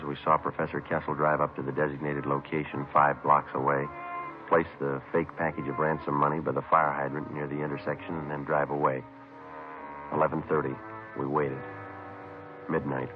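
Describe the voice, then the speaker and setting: A man acting as a terse American detective sergeant narrating his case in a clipped, matter-of-fact 1950s radio drama style. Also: rumbling low. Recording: muffled vintage radio recording with music behind.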